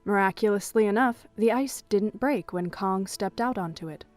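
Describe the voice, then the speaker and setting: A woman's voice: medium pitch, normal speed. A woman reading scripted third-person narration for an audio drama as its storyteller. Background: music.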